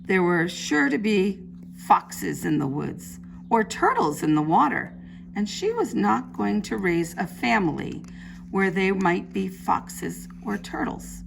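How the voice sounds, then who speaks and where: Preschool teacher lady reading a picture book, gravelly fry in her voice, slight noise behind. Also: mid-range voice, lively intonation, rough recording. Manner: simple, deliberate phrasing addressed to children. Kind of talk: read-aloud storytelling for young children. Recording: faint background noise.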